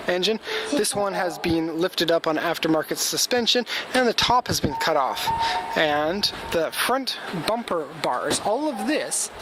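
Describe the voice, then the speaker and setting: A man with a somewhat high voice hosts a casual walkaround video, speaking off the cuff. He rambles informatively and offers frank opinions. He is recorded outdoors with very loud background noise and vehicle sounds. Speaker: host of car auction walkaround video. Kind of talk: casual off-the-cuff vlog commentary. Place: outdoors at a car auction lot.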